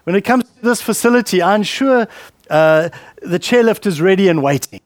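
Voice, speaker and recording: A man's voice: high voice, animated, normal speed, no room sound. A man preaching a sermon at church, rambling and conversational with many fillers and asides. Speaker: pastor. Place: church hall. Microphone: handheld mic with a weak battery.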